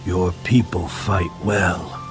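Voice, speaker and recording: An actor playing a solemn, dignified Inca leader with a grave, formal manner in a video game cutscene. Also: male voice, low-pitched, fairly even intonation, slow pace. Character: solemn, dignified male Inca leader, protective of his people. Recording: loud music under the voice.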